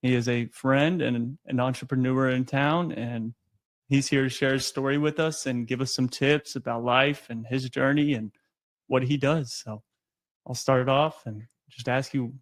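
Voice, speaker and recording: Podcast host: male, average pitch, conversational intro delivery, no room sound. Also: steady tone, normal speed, no room sound.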